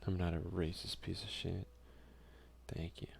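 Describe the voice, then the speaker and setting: Deep crackly guy doing an asmr lets play, quiet and hushed, reads the game text out and comments. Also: little pitch movement, unhurried, vocal fry, slightly rough. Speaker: gaming video creator and player.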